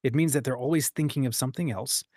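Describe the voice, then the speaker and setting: Male discussion host, average pitch, dry recording, casual and explanatory, given to quoting imagined dialogue. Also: fast talker, darkish tone, no room sound. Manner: acts out short quoted examples.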